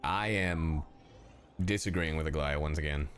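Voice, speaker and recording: A man's voice: a bass voice, normal speed, music in the background. A man giving casual, emotional livestream commentary on a game, swearing and repeating himself. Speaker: streamer reacting to a game story.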